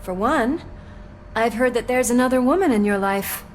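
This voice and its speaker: A woman's voice: a little high, expressive, moderate pace, a little hiss or hum, close to an everyday voice. A woman acting a supportive, teasing police partner in a video game, informal and wry. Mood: wry, caring.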